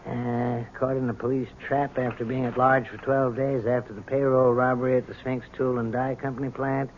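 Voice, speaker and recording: A man's voice: mid-range voice, little pitch movement, normal speed, natural voice. Coaxing prison doctor played by a man, tinny radio. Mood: chatty, official. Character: persuasive, humane prison doctor. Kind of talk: old-time radio drama acting. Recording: thin, noisy old radio broadcast.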